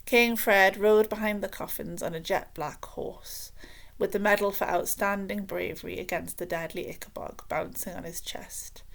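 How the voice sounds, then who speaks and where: A woman reading a children's book aloud as expressive scripted narration. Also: medium pitch, vocal fry.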